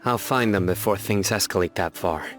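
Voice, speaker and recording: A man's voice: low-pitched, moderate pace, faint music behind, close to an everyday voice. A man voicing a serious, determined investigator who speaks firmly and reassuringly in a game cutscene. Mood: steady. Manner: firm and reassuring.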